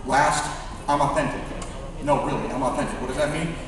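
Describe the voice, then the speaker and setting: Fast-talking man speaking in an echoey hall. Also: a little high, fairly even intonation, crowd noise. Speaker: student election candidate. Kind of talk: campaign speech to an audience. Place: large reverberant room at a public forum. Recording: echoey hall from far off, audience noise.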